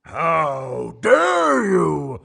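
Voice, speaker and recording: A woman voicing a haughty, defiant fantasy hero in a video game, declaiming slowly. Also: deep voice, huge pitch swings, gravelly, background noise, exaggerated voice.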